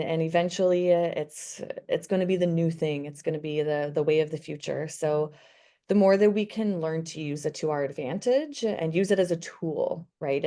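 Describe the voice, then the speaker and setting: Woman co-hosting a webinar, chatty and upbeat, lowish mellow voice. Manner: chatty, upbeat, reads audience chat. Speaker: webinar co-presenter. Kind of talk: live webinar talk.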